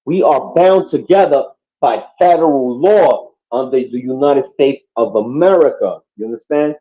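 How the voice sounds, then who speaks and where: A man's voice: medium pitch, moderate pace. A man from Puerto Rico talking casually and emphatically on a podcast, swearing often and checking in with his listeners. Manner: profane, emphatic, keeps asking "you know what I mean". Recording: muffled lo-fi audio.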